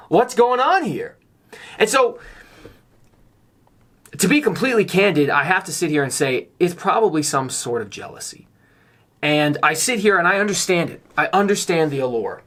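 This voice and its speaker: A slightly hoarse man giving a candid, earnest vlog monologue, speaking emphatically as someone a little under the weather. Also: fairly high pitch, a bit bright. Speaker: YouTuber on real estate investing.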